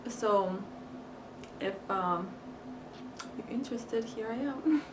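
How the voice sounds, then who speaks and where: A woman's voice: on the high side, takes it slowly. A young woman from Crimea, a native Russian speaker, chatting casually while eating, with lots of fillers and giggles. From Crimea, Ukraine. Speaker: vlogger. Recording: echoey room, far mic, hiss.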